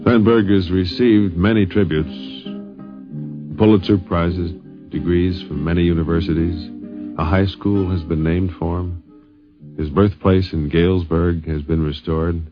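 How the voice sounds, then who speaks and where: A man with a very deep voice narrates a radio documentary in the fifties manner. The recording is dull and old, with faint guitar music behind him. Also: steady tone, unhurried.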